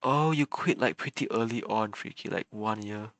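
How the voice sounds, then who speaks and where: A man's voice: lowish voice, normal speed, a bit bright, no echo. A man livestreaming a game, chatting casually with viewers, chuckling often and stammering over repeated words. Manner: chuckles often between remarks.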